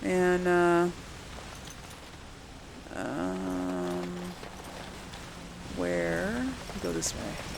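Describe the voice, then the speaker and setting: Slow, creaky-voiced woman gamer. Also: on the low side, big pitch swings, noisy background. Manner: hesitant, musing, thinking aloud. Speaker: gaming video host. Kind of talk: casual game playthrough commentary.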